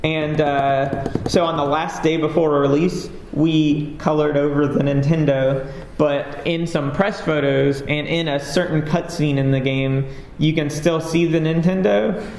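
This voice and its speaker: A man with a fairly high voice talks casually from a conference stage, rambling and self-deprecating with many fillers. The sound is roomy and echoey. A faint hum of ambience sits behind him. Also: steady tone, measured pace, few pauses, darkish tone. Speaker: game developer giving a talk.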